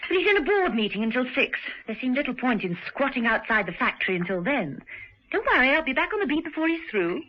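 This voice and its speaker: A brisk, witty lady agent in a 1960s radio drama. Her voice sits high and swings about a great deal. The old broadcast sound is dull and muffled. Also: very animated. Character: poised young woman agent, brisk and witty. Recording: dull vintage radio recording.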